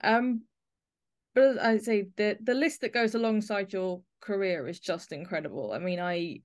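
A woman's voice: medium pitch, normal speed. A woman hosting a podcast as a casual, chatty interview, speaking effusively to the guest. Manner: effusive, full of praise for the guest.

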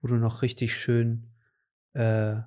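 A man's voice: mid-range voice, completely flat delivery, slow pace, a little mellow. A man in his late twenties chatting casually about his training goals, rambling with lots of fillers and restarts. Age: late 20s. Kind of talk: casual chat.

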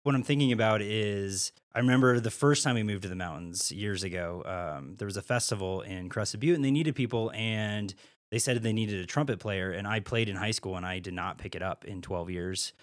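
A man in his forties from the Midwest, an artist and teacher talking through an interview in a relaxed, rambling, friendly way. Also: lowish voice, brisk, no echo, studio quality. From Midwestern United States. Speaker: guest, artist and art teacher. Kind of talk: casual interview chat. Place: small caboose art studio.

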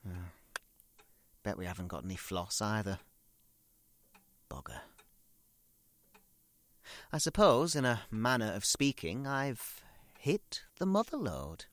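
A man's voice: fairly low pitch, very animated, slow, long pauses, fairly bright tone, no room sound, full character voice. A man playing a fussy, self-pitying grown son in an audio drama monologue, chattily grumbling and rambling in darkly comic asides. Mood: darkly cheerful.

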